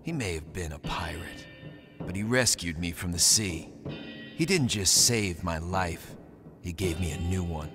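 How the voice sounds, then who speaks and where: A brash but loyal young pirate raider in a game cutscene. His voice is low and gritty, with music behind it. He carries himself with hard determination. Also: unhurried. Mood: grave, mourning. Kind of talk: video game cutscene acting and first-person narration.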